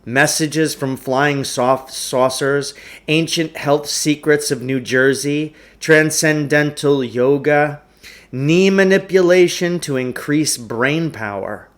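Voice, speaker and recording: A man's voice: on the high side, takes it slowly. A man reading a storybook aloud as a narrator, in the manner of a teacher.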